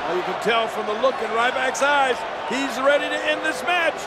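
Very high, excitable male wrestling commentator. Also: fairly quick. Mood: excited. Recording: loud crowd, very noisy game audio. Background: roaring arena crowd.

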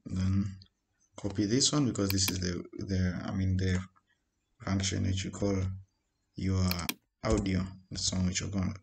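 A man giving an informal, halting screen-recorded programming tutorial as he types. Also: sits low, steady tone, gravelly. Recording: noisy home recording with keyboard clicks. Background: keyboard typing and mouse clicks.

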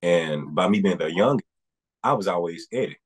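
Young guy on a webcam call from home, very deep and a bit husky, talks about himself kinda rambling. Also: lively intonation, normal speed. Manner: earnest, rambling with restarts and fillers. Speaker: guest singer. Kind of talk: casual interview. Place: home room indoors. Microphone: video call webcam.

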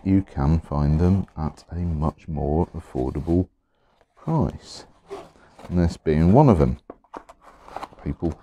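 A middle-aged man, slightly hoarse, chatting casually as he unboxes pens at his desk, rambling with many pauses and restarts. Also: deep voice, dark tone. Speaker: pen collector vlogger. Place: indoors at a desk, overhead camera on hands.

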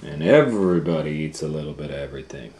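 A middle-aged man reading a picture book aloud to children in a relaxed, chatty way, sometimes dropping to a near whisper, recorded on a close camera mic at home. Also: rumbling low, fast, slight background noise. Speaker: children's storytime reader. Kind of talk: casual read-aloud for kids.

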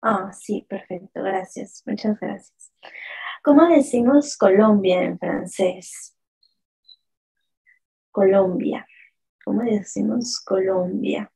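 Female teacher, mellow and husky, slow deliberate delivery for a live class drill. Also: mid-range voice, low-quality recording. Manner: slow, drawn-out pronunciation drills; asks the chat questions and praises answers. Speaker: French teacher. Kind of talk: live online lesson.

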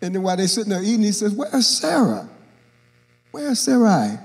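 A man's voice: high voice, normal speed, creaky. An older man, a bishop, preaching a sermon on a church stage into a handheld mic, acting out Bible characters and joking with the congregation. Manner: animated, acts out Bible characters, jokes with the congregation. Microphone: handheld microphone. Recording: long reverb of a large church hall.

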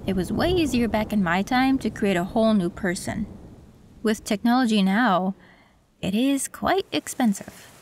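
A woman voicing a female cyberpunk tech who works on an android, calm and matter-of-fact, in an audio roleplay. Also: mid-range voice, moderate pace, a little hiss or hum, natural voice.

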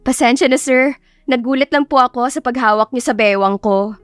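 A woman's voice: high-pitched, moderate pace, no echo, faint music behind, close to an everyday voice. A woman voicing a flustered, pouty young woman applying for a job in a story, with a Filipino accent. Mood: apologetic. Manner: pouty, plain-spoken.

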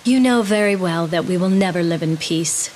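An actress voicing a proud, dutiful young princess warrior who speaks in a composed, firm way in a game cutscene. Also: female voice, on the low side, moderate pace, a little mellow, slight background noise, lightly acted, poor audio. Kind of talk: video game cutscene dubbing. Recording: game audio with faint music behind.

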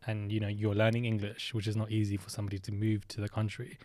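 A man hosting a podcast, asking relaxed and empathetic questions. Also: lowish voice, quick pace, no room sound. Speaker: podcast host. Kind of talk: casual podcast interview. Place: small studio with sofa and purple lighting. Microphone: studio boom mic close up.

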